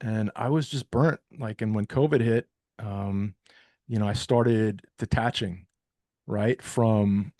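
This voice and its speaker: A man's voice: lowish voice, steady tone, slow pace, darkish tone, no echo, clean studio sound. A middle-aged man from New York, a guest on a podcast, talking reflectively about his career change with lots of casual fillers. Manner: chatty and reflective, many fillers like you know and um. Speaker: podcast guest, recovery peer advocate. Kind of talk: casual interview conversation.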